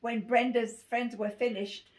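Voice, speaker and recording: Woman, 80, English accent. Unhurried, amused picture-book reading prone to giggles, high-pitched, recorded at home with faint noise. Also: level tone. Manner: giggly, often breaking into laughter while reading. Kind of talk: amused read-aloud of a rhyming picture book. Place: small cluttered living room. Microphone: phone camera a few feet away. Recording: faint noise, clicks, home phone video.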